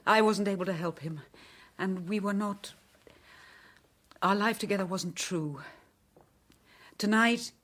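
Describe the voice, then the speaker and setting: Actress as dignified leading lady, medium pitch. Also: lightly acted. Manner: formal, deliberate. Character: composed, dignified leading lady, newly widowed, resolute. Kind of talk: radio drama acting.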